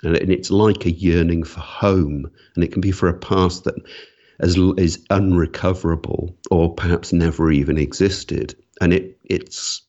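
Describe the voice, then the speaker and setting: Deep-voiced author, muffled audio. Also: male voice, fairly even intonation, unhurried, warm tone. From Wales. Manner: thoughtful, with frequent restarts. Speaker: guest author. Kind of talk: reflective interview answers.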